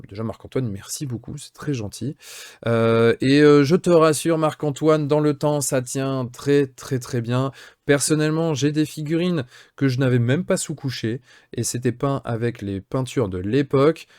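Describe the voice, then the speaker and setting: A man's voice: medium pitch, a little mellow, no room sound, studio quality. A man in his late thirties with a French accent, a hobby channel host casually reading and answering viewer questions, chatty and full of fillers. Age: 30s. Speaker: miniature painting channel host.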